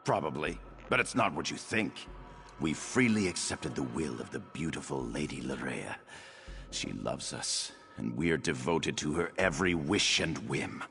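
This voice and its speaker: A man's voice: very deep voice, lively intonation, unhurried, creaky, fairly bright tone, faint music behind, heavily acted. A man playing a rapturous, fawning nobleman in a video game, effusive, theatrical and lofty in his delivery. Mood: dreamy devotion.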